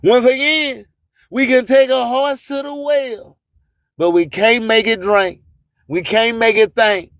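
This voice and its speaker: A man's voice: very high-pitched, steady tone, slow pace, fairly bright tone, smooth, no echo, clean studio sound. A man hosting a radio show, delivering an emphatic, declamatory motivational monologue and repeating his catchphrases. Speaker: radio host. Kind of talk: motivational radio monologue with promotional shout-outs.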